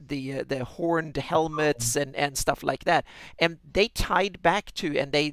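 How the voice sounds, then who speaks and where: A man's voice: a little high, a bit bright, no room sound. A man with a Swedish accent in casual podcast chat, often repeating words and restarting. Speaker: podcast co-host.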